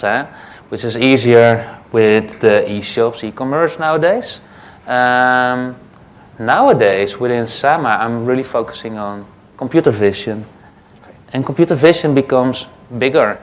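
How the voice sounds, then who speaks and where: Easygoing man with a Dutch accent. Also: mid-range voice. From Netherlands. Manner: easygoing, a bit halting, restarts phrases. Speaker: panel guest. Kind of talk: conference panel talk. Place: conference stage.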